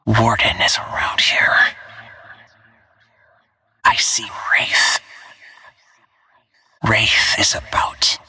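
Stealthy cold assassin lady for a shooter game, breathy half whisper, really slow clipped callouts. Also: extremely low pitch, fairly even intonation, dry recording, full character voice. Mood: cool, focused. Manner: terse, hushed, deliberate. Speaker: playable video game character. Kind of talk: scripted video game callouts.

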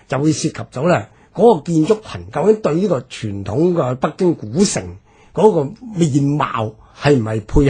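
A man with a Hong Kong Cantonese accent explains history off the cuff as a commentator, halting and drawing out his particles. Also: medium pitch, darkish tone, husky. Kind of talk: unscripted explanatory discussion.

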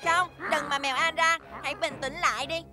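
A woman's voice: high-pitched, fairly even intonation, brisk, natural voice. A woman playing an earnest, tender-hearted young male gnome, pleading, in a cartoon dub. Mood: distressed. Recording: music behind the voice.

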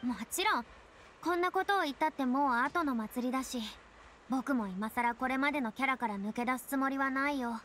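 A woman's voice: high-pitched, brisk, a bit bright, slight background noise. An actress voicing a proud, theatrical young woman, a retired star with boyish turns of phrase, in an anime-style game. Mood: resolute, wistful. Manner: haughty, dramatic, speaks with boyish self-reference. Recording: studio voice over game music.

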